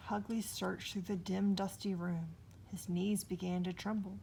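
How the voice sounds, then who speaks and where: A woman's voice: mid-range voice, flat delivery, measured pace, vocal fry, dark tone, low-quality recording. A woman narrating a children's story expressively, recorded with noise behind her. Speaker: storybook reader.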